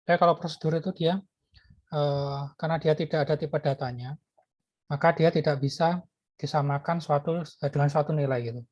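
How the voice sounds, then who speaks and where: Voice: medium pitch, steady tone, fairly quick, darkish tone, no echo, muffled recording. A lecturer explaining programming while typing code, thinking aloud with many fillers and restarts. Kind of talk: live coding lecture.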